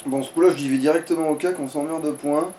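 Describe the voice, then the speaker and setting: A man's voice: fairly high pitch, steady tone, fast talker, warm tone, slight background noise. A man giving casual, sweary, grumbling commentary while playing a video game with a friend. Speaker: let's player, at the controls. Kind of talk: casual gameplay commentary with a co-player.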